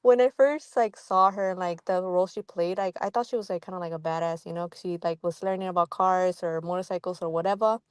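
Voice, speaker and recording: A woman's voice: lowish voice, steady tone, fast talker, hardly any pauses, clean tone, dry recording, studio quality. A young woman chatting as a guest, hesitant and giggly, filling her speech with "like". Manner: giggly, hesitant, says "like" constantly. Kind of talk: casual chat.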